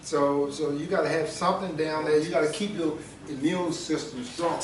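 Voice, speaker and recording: A man's voice: fairly high pitch, steady tone, moderate pace. Older man talking from across a roomy space. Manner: folksy, joking, repeats himself. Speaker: wellness speaker. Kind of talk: informal health talk to a group. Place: indoor room with an audience. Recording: roomy, from a distance, with a crowd.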